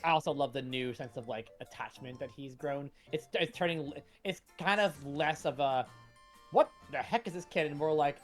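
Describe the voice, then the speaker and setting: Casting: deep-voiced female co-host, chatty and informal, soft music underneath. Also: normal speed. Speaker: co-host of comic read-along stream. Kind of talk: casual commentary.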